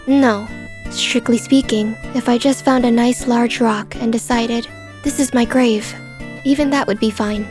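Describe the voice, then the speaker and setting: A woman voicing a reserved, polite and melancholy young female companion in a manga dub, speaking in a formal, measured, guarded way. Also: fairly high pitch, fairly even intonation, darkish tone, soft background music, natural voice. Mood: calm, wistful. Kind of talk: fan manga dub dialogue.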